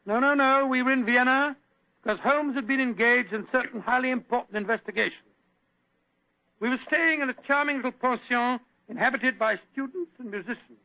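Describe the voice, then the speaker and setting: An actor playing an affable older male English doctor, narrating and chatting in a warm, bumbling 1940s radio acting style, on a dry, tinny old radio broadcast. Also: very high-pitched, brisk, no room sound. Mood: genial.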